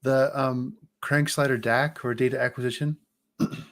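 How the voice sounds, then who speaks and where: A man in his forties chatting casually on a livestream as he walks through his engineering software, joking and restarting his sentences. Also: medium pitch, level tone, moderate pace. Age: 40s.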